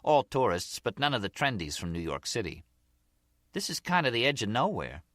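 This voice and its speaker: Man voicing a sly, brazen gangster's girlfriend, pitched up. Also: big pitch swings, moderate pace, a bit bright, no room sound, studio quality. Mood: playful. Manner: teasing, streetwise.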